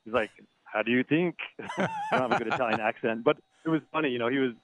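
A man calling in as a golf writer guest. He talks in a chatty, halting way, restarts words often and chuckles now and then. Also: mid-range voice, sing-song, fairly bright tone. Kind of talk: phone interview. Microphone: phone. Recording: tinny dry phone line.

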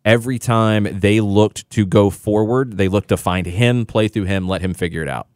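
A man's voice: fairly low pitch, steady tone, moderate pace, a little mellow, no room sound, clean studio sound. A man analyzing soccer as a guest on a radio sports talk show, explaining tactics confidently.

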